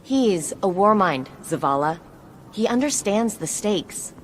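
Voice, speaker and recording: An actress playing a confident young warrior and tech expert in a video game, talking in an earnest, informal, conversational way. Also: female voice, mid-range voice, animated, slow pace, a little hiss or hum. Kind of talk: scripted video game dialogue.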